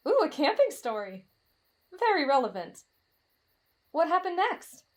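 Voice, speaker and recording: A woman's voice: high-pitched, sing-song, a little slow. A woman voicing a timid, lovestruck teenage boy in an anime-style roleplay drama, with a dramatic, whiny delivery that swings between fretful and gushing. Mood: curious, startled. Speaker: voice actor in roleplay audio.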